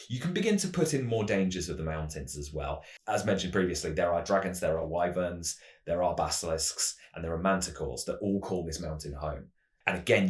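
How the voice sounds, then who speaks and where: Very deep-voiced man in a roomy space. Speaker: host, game master giving adventure advice. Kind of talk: tutorial narration of a fantasy roleplaying scenario.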